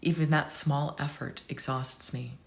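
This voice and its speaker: A woman reading a story in the voice of a gentle, devoted aging female dog, soft and tender. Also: sits low, fairly even intonation, unhurried, gravelly.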